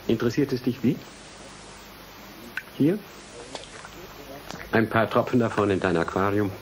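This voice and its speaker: An actor playing a cynical, gloating murderer, a ruthless scientist, in a mocking, taunting manner in a radio play. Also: male voice, on the low side, a little hiss or hum, low-quality recording. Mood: gloating menace. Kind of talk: radio drama acting.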